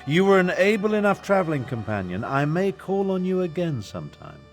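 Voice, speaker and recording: A man's voice: sits high, big pitch swings, a little fast, faint music behind. A man playing a haughty, curmudgeonly older battle mage in a fantasy game, with dry and pompous delivery. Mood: grumpy, dismissive. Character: crotchety, haughty aging human battle mage. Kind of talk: video game character dialogue.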